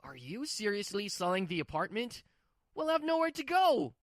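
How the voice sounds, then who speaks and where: Give me a woman playing an entitled husband in a manga dub, whiny and demanding, with big swings in pitch and a dry sound. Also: sing-song, normal speed, no room sound, natural voice. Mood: panicked. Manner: demanding, pleading. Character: selfish, whining adult husband, entitled and panicky.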